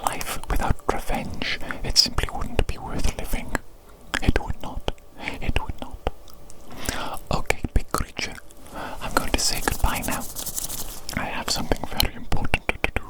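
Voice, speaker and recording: An evil doctor played by an ASMR performer speaks entirely in a breathy whisper. The phrasing is formal, deliberate and repetitive, doting on a creature patient with a vengeful streak underneath. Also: close to an everyday voice, low-quality recording. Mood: gleefully vengeful, then soothing farewell. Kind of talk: whispered ASMR medical roleplay.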